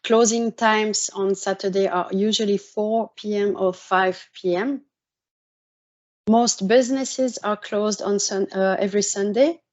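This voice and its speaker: Swiss French woman presenting, with pauses. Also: mid-range voice. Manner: measured, with frequent "uh" pauses and restarts. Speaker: university exchange coordinator. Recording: muffled lo-fi audio.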